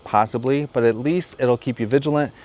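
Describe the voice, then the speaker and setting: A man's voice: medium pitch, a little fast, a little mellow. A man hosting a video, giving a casual, plainspoken product review and explaining things as he goes. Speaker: video host.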